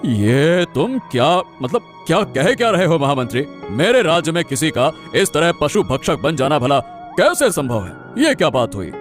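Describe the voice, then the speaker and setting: Cartoon king played by a man: authoritative, probing, commanding delivery, slightly high male pitch. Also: sing-song, normal speed, soft background music. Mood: questioning, commanding. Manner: commanding, questioning. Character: questioning, authoritative king of a village realm. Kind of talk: Hindi cartoon story voice acting.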